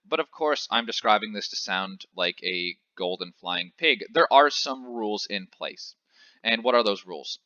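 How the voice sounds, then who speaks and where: A young man from Canada talks through money basics in a loose, conversational way, often pausing on fillers and correcting himself. His voice sits on the low side yet sounds very bright and forward. The recording is dry with a slightly dull, lo-fi sound. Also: no room sound. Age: 20s. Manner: chatty, lots of filler "uh" and self-corrections. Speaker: finance educator. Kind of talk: casual explainer tutorial.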